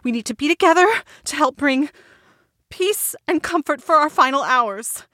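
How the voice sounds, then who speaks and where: Woman acting a frantic, high-voiced mother. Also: lively intonation, moderate pace, bright, forceful tone, dry recording, clean studio sound. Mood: frantic, commanding. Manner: frantic, pleading, exclaiming. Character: panicking, devout, strict mother of a teenage daughter. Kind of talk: audio drama acting.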